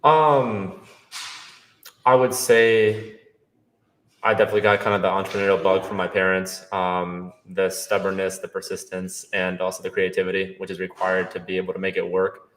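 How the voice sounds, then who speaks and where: Young male guest from Brazil, low and even delivery, relaxed and practical. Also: normal speed, poor audio. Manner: relaxed, matter-of-fact. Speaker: guest, real estate investor. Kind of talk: casual interview answers.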